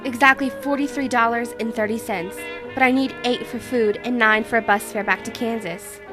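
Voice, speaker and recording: An actress playing a nervous, grateful small-town client in an old-time radio detective drama. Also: female voice, a little high, fairly even intonation, normal speed, over music, lightly acted. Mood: meek, apologetic.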